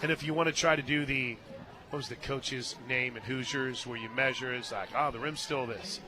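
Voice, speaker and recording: A man calling softball live on radio as play-by-play announcer, mixing clipped pitch calls with conversational asides. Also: steady tone, normal speed. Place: radio booth at an outdoor softball stadium. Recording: live stadium booth, clear crowd noise. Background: stadium crowd.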